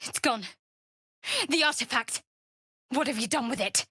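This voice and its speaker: A woman playing a guarded, sardonic young cleric of a dark goddess in a fantasy video game, speaking in a dry, measured, wry way. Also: a little high, breathy edge, no room sound, heavily acted. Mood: angry.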